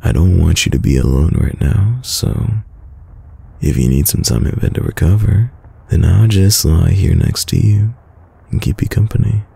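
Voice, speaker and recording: Very deep, gravelly man playing a tender boyfriend. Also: exaggerated voice. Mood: affectionate, soothing. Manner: slow, soft-spoken, soothing, affectionate. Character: tender, teasing young boyfriend caring for sick partner. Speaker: voice actor. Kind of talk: intimate boyfriend roleplay ASMR.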